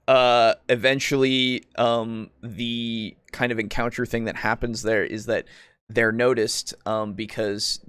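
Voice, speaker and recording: A man speaks in a casual podcast discussion, at a middling pitch with very little room sound. He rambles and halts as he explains things, swearing freely. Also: a bit bright, clean tone, dry recording. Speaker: podcast co-host. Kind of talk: casual discussion of tabletop roleplaying.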